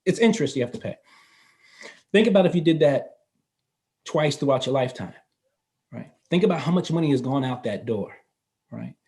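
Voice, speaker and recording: A man in his fifties, a guest in a casual interview, explaining finance in a relaxed way and laughing easily. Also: mid-range voice, moderate pace, pauses often. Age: 50s. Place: North Carolina.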